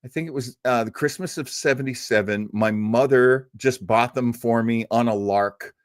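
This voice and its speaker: A man's voice: medium pitch, normal speed, no echo, clean studio sound. An older man hosting an old-time radio show in a casual livestream chat, rambling, effusive and self-deprecating.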